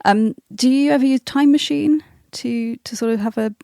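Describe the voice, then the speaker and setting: A woman's voice: a little high, normal speed, creaky, mellow, dry recording, clean studio sound. A woman hosting a podcast, asking questions in a casual, chatty interview style. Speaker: podcast host.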